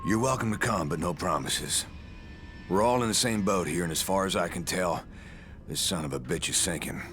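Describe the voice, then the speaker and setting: Man acting a deep, world-weary cynical survivor. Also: moderate pace, over music, lightly acted. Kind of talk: video game cutscene acting.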